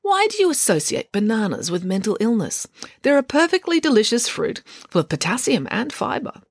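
Storybook role: woman playing an unflappable, privileged girl, breezy and exact, with bouncy, varied pitch. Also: big pitch swings, normal speed, creaky, slightly rough, dry recording, clean studio sound, exaggerated voice. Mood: matter-of-fact. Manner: blithe, precise, matter-of-fact. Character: chatty, posh, unflappable know-it-all rich girl.

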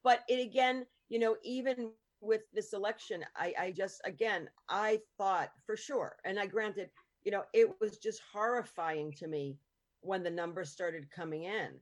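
A woman's voice: mid-range voice, normal speed. A woman hosting a casual conversation, speaking earnestly and asking questions. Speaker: host.

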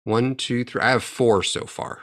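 A man co-hosting a podcast who talks in animated, rowdy banter and laughs a lot. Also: mid-range voice, measured pace, no room sound, studio quality. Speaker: podcast co-host. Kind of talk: casual crude banter.

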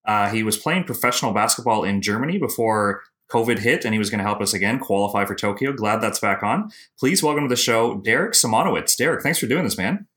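A man's voice: lowish voice, lively intonation, fast talker, few pauses, a bit bright. A man from Canada hosting a podcast, introducing his guest and asking questions in an upbeat way. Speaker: podcast host.

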